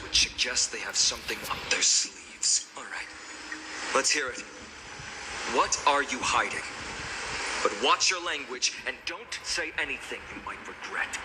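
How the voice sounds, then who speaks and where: An actor playing a stern, defiant young swordsman lord in a video game, speaking gravely and with menace. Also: mid-range voice, close to an everyday voice. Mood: guarded threat. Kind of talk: dramatic game voice acting. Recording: game audio under loud background noise.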